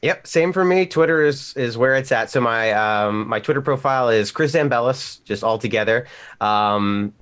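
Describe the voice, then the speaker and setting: A man chatting casually as a panel guest, telling things in an animated, conversational way. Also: mid-range voice, moderate pace, smooth. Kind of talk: casual chat.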